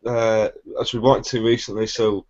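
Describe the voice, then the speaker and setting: A man's voice: on the low side, level tone, normal speed, darkish tone. A man guesting on a casual chat, enthusiastic and swearing freely, calling everyone mate. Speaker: band member guest. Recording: dull lo-fi sound.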